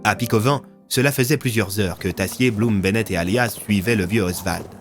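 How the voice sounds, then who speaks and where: A man's voice: on the low side, fairly quick. A man narrating scripted, dramatic audio fiction as a storyteller, with music underneath.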